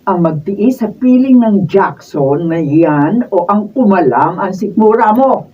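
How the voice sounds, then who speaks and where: An actress playing an indignant middle-aged aunt in a Filipino radio drama, husky and urging. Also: female voice, medium pitch, expressive, takes it slowly. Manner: urging, emphatic. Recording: muffled, distant mic.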